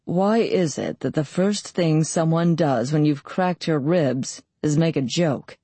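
A woman's voice: sits low, a little slow, mellow, husky, dry recording, heavily acted. A woman voicing a wry, wounded male bard in an audiobook, weary yet playful. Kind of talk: audiobook character voice.

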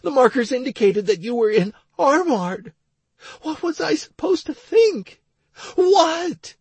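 Guy doing an anxious crying wife for an audiobook, high pitched, pleading, really worked up. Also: sing-song, slow pace, a little mellow, dry recording, heavily acted. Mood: tearful, frantic. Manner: urgent, pleading, emotional. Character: anxious, tearful young wife, frantic and pleading. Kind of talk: audiobook character dialogue.

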